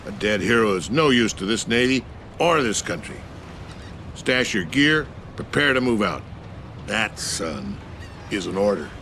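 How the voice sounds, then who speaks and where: An actor playing a stern older navy admiral giving clipped orders in a video game. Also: male voice, lowish voice, big pitch swings, a little slow, bright, forceful tone, a little hiss or hum, exaggerated voice. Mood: firm.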